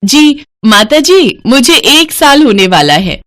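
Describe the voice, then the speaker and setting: Woman voicing a meek, polite housemaid, higher-pitched. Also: sing-song, slow pace, a bit bright, exaggerated voice. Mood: polite, timid. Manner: respectful, deferential. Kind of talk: moral story cartoon voice acting.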